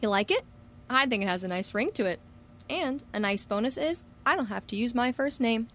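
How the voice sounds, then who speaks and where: A woman's voice: a little high, expressive, fairly quick, a bit bright, slight background noise. A woman playing a confident, scheming young student and true-crime podcaster in an audio drama, polite but pointed. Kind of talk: scripted audio drama acting.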